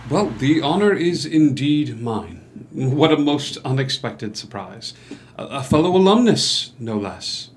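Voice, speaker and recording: An actor playing a courteous, hesitant gentleman doctor new in town, speaking in formal, halting phrases at a theatrical table read. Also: medium pitch, sing-song, a little hiss or hum. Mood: deferential, ingratiating. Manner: formal, halting, old-fashioned politeness. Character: courteous, hesitant male doctor newly arrived in town, subtly ingratiating.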